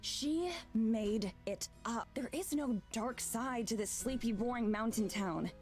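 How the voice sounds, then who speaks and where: A woman's voice: fairly high pitch, a little slow, a little mellow, faint music behind, lightly acted. A woman voicing a frustrated, skeptical young traveler in a visual novel, blunt and exasperated.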